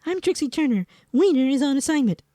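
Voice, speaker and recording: A woman's voice: sits high, expressive, fast, dark tone. A woman playing a composed, matter-of-fact TV news anchor reading a scripted parody newscast.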